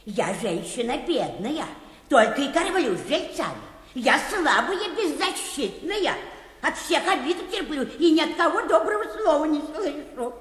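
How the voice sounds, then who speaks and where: An actress playing a pestering, plaintive older petitioner in a comic radio play, whining and obsequious in an old-fashioned folksy way. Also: female voice, high voice, big pitch swings, measured pace, a bit bright, heavily acted. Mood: plaintive, insistent. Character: pestering, plaintive older petitioner woman, humble yet stubborn. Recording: echoey hall reverb.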